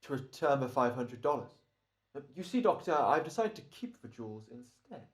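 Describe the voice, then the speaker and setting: Guy acting a fussy polite hired killer, hesitant and smug, pitch jumps around, radio play. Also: big pitch swings, moderate pace, lightly acted. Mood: wary, smug. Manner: hesitant, over-polite, mannered. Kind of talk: old-time radio drama acting.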